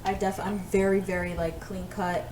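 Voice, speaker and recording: A woman's voice: on the low side, flat delivery, normal speed, darkish tone. A young woman co-hosting a podcast, playful and teasing in casual chat. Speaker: podcast co-host. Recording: echoey room, off-mic, faint noise.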